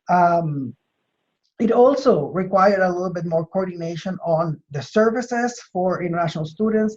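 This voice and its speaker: A man from Chile with a Chilean accent explains his work in an interview, in measured, hesitant phrases with repeated words. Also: high voice, a little mellow. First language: Spanish. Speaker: university administrator being interviewed. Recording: dull, lo-fi call audio.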